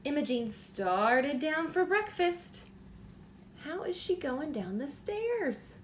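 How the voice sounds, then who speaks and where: High voiced lady reading a kids book out loud, asks little questions, bit of noise behind her. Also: very animated, takes it slowly. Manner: encouraging, explaining simply with prompting questions. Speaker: teacher reading a picture book aloud to children. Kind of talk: children's read-aloud with questions to listeners.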